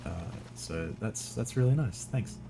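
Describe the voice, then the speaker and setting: A man's voice: medium pitch, lively intonation, a little slow, mellow, soft background music. Man thinking aloud while gaming. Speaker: streamer. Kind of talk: casual gameplay commentary.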